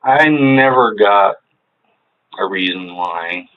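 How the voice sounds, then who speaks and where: A man's voice: animated, slow, a little hiss or hum. A man in his forties who grew up in Hawaii, answering questions hesitantly with many fillers in a police interview room. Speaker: interrogation subject. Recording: tinny low-fidelity room recording.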